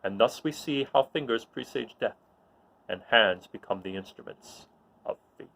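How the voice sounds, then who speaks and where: A man voicing a menacing, brooding dark lord, declaiming Shakespearean verse in a commanding manner. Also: mid-range voice, moderate pace, gravelly, poor audio. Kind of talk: dramatic reading of mock-Shakespearean verse.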